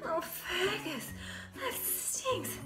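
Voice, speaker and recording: A woman's voice: extremely high pitch, sing-song, very slow, loud music behind the voice, pitched above the performer's own voice, exaggerated voice. A woman voicing a dazed, disgusted mother dragon in a children's storybook, with a wailing delivery. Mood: woozy disgust. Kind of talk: children's storybook read-aloud. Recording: roomy echo.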